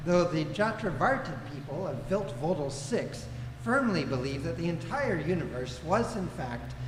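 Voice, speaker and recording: A man performing as the measured, declamatory narrator of a comic science-fiction radio play. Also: fairly high pitch. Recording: echoey hall, clear background noise.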